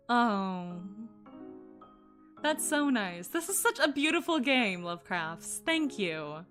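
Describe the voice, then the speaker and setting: Brief: woman streamer, unhurried delivery, bright high voice, gushing and giggly, faint game music under her. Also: sing-song. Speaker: VTuber streamer. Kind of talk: gameplay commentary and reading a visual novel aloud.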